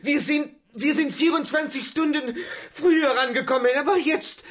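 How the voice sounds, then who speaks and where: An actor playing an excitable, devoted young manservant in a radio play, breathless and laughing through his words. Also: very high-pitched, normal speed. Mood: frantic, overjoyed.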